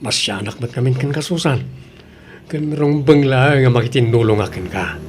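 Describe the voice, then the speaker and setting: A man playing a sly, ingratiating boyfriend in an audio drama, flattering and wheedling as he talks. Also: medium pitch, moderate pace, a little hiss or hum, lightly acted.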